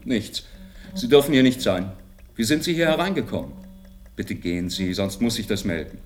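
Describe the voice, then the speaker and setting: A man's voice: lowish voice, expressive, normal speed, soft background music, natural voice. An actor playing a wary, insistent male staff member in a radio drama.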